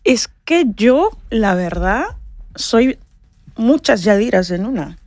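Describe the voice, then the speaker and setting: A woman's voice: fairly high pitch, very animated, slow pace, a little mellow, no room sound. Cuban woman, dry close sound. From Holguín, Cuba. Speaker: musician, singer, interview guest. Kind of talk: casual interview talk.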